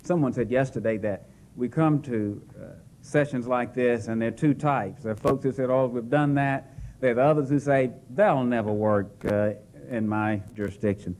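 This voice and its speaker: A man, a county's chief public defender, presenting to a selection panel in a relaxed, joking way with many hesitations. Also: medium pitch, moderate pace, slight background noise. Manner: relaxed and jokey, frequent "uh" hesitations. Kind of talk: semi-formal presentation with asides. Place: hall at a press club.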